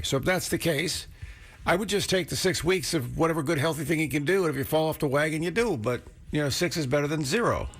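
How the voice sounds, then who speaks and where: A man's voice: mid-range voice, fairly bright tone. A man working as a radio DJ, talking in a casual, conversational way between songs on a close studio mic. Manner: chatty, conversational. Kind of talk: casual music radio DJ patter. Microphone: close studio radio microphone.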